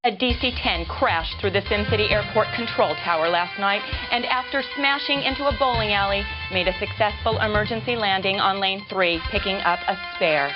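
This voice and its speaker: A woman playing a deadpan local TV news anchor in a scripted parody game cutscene, reading in a polished newsreader manner. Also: medium pitch, moderate pace, few pauses, natural voice. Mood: deadpan newsreading. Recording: dull lo-fi game audio, music behind.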